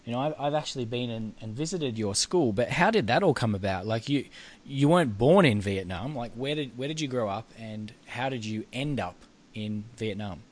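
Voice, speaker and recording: A man's voice: mid-range voice, animated, normal speed. Host: man, mid-range voice, chatty, friendly interviewer. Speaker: podcast host. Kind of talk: podcast interview.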